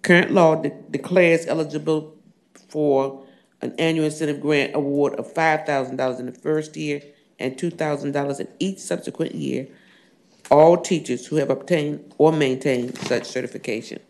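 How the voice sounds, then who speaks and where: A hoarse man with a scratchy voice, a state senator formally presenting bills in a committee room, reading the text at length with many fillers. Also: a little high, steady tone, moderate pace. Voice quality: scratchy, gravelly. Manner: reads bill text at length with frequent fillers. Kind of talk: formal legislative bill presentation.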